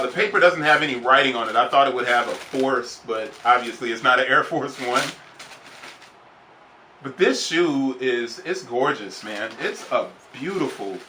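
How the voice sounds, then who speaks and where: A man's voice: mid-range voice, bright, forceful tone, echoey room, slight background noise, low-quality recording. A man casually reviewing a sneaker on camera, rambling and enthusiastic, repeating himself as he goes. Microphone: camera then phone.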